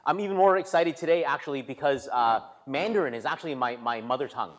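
A man preaching as a guest speaker, pausing for an interpreter, with stop-start phrasing, repeated words and light self-deprecating humor, in a reverberant church. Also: medium pitch, animated. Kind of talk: sermon delivered through an interpreter. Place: church sanctuary.

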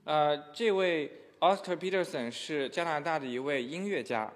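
A man's voice: fairly high pitch, normal speed. A man interpreting a sermon into another language in a church hall, hesitant and full of fillers. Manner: hesitant, many filler sounds. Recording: echoey hall.

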